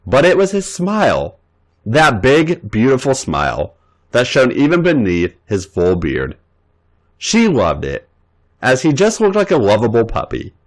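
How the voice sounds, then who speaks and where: A man reading fan fiction aloud as a podcast host, narrating in an expressive way. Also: a little slow. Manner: voices characters' lines with big pitch jumps.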